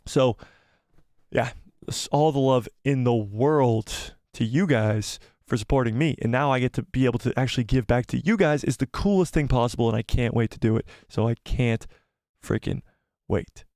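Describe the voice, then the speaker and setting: A young man hosting a live stream, rambling excitedly, laughing often and reading viewer comments, slightly losing his voice. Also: mid-range voice, moderate pace, dry recording, clean studio sound. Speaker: YouTube channel host.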